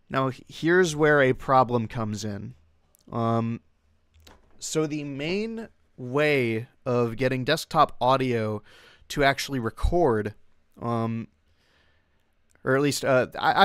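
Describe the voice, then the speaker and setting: A young adult man hosting a casual livestream in a clear, smooth voice at a middling pitch. He rambles hesitantly and often breaks off to restart a thought. The sound is dry and close, with no room echo. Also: a bit bright. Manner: rambling, hesitant, self-interrupting. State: occasional coughing from long covid. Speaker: livestream host.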